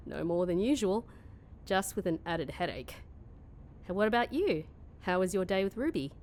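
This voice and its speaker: A grumpy, hungover young woman, played by an actress in a fantasy audio comedy. Her medium-pitched voice swings from syrupy fake niceness to dry sarcasm, over faint noise. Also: sing-song, normal speed, a little mellow, lightly acted. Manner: sarcastic, mock-sweet then dry.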